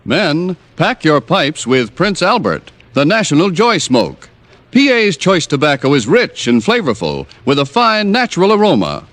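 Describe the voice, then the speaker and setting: A man's voice: fairly high pitch, sing-song, measured pace, fairly bright tone, no echo. An American man working as an old-time radio announcer, reading adverts and credits with emphatic, polished salesmanship. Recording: old radio broadcast with music.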